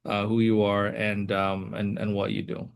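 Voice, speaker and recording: A man hosting an interview, speaking haltingly with many fillers and repeated words. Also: on the low side, monotone, gravelly, clear, resonant tone. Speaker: host. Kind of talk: casual interview.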